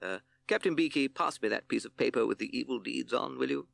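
A man's voice: medium pitch, brisk, vocal fry, a bit bright, no room sound, voice pushed up. A man voicing a pompous male owl prosecutor in a storybook, with formal, self-important legal speech. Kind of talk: storybook character voice.

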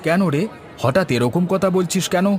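A man's voice: high-pitched, moderate pace, a faint crowd, slight background noise, natural voice. A man playing an easygoing, teasing village biryani seller in a cartoon. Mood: friendly, teasing. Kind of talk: Bengali cartoon dialogue.

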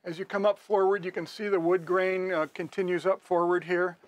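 A man's voice: little pitch movement, brisk, no room sound, highs cut off. An older man as a salesman, walking through a boat in a large indoor showroom with a clip-on mic, talking in a friendly, plain way. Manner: friendly, plain sales pitch with some fillers. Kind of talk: product walkthrough.

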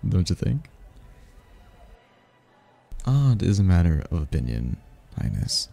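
A man's voice: low-pitched, big pitch swings, slow, creaky, dark tone, husky, soft background music, heavily acted. A man playing a charming, teasing mysterious stranger at a royal ball in a romantic ASMR roleplay, speaking in a playful, flirtatious way.

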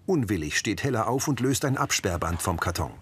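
A man's voice: on the low side, animated, brisk, husky. A man reading scripted audio description, narrating the action of a scene in short sentences.

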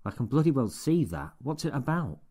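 A man's voice: medium pitch, sing-song, fairly quick, mellow, slightly rough, voice pushed up. A man voicing a sour, contemptuous barber-shop owner who sneers and barks orders. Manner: sneering, sarcastic, bossy.

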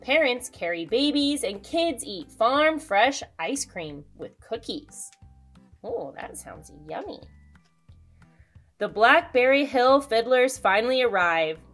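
A woman in her thirties, a children's host reading a picture book aloud with expressive storytelling delivery. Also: a little high, slow, soft background music. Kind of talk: storybook read-aloud with asides to young viewers.

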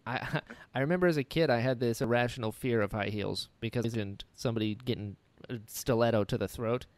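A man hosting a podcast, enthusiastic and animated, often acting out little quoted exchanges. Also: mid-range voice, a little fast. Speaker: podcast host. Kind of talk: casual interview chat.

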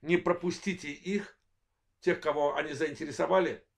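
A man in his forties from Azerbaijan with a worn voice, delivering a political analysis monologue in measured, halting short phrases as host of his own channel. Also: fairly high pitch, fairly even intonation, creaky. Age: 40s. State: voice worn, apologizes for it.

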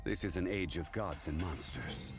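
Man playing deep, stoic ancient god. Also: close to an everyday voice. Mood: grave resolve. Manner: grave, formal, deliberate. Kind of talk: dramatic game trailer acting. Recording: music behind the voice.

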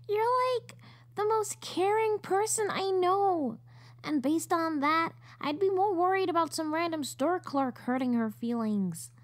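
Woman as a very high-voiced girlish little sister. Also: slow. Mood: earnest, teasing. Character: girlish, earnest, playful teenage little sister. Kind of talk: audio drama acting.

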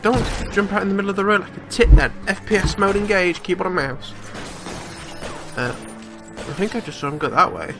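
A man's voice: high voice, moderate pace, music in the background. A man giving casual, jokey gameplay commentary, sweary and reacting aloud as he plays. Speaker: gamer, let's play host.

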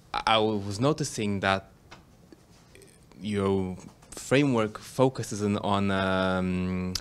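A man from Portugal poses a question to a speaker after a talk. His voice sits fairly low, and he phrases things carefully, pausing to find his words. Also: clean tone. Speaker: student asking a question. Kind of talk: audience question.

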